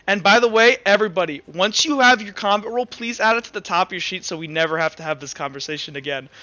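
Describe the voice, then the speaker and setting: A man's voice: sits high, animated, fast talker, sharp tone, muffled recording. A man casually refereeing a tabletop game, explaining rules and giving players instructions. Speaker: game master.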